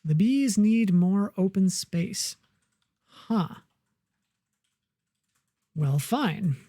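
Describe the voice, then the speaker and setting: A woman's voice: medium pitch, animated, extremely slow, mellow, dry recording, studio quality. A woman casually talking through a survival game as she builds, muttering half to herself. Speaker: gamer. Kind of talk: casual let's-play commentary.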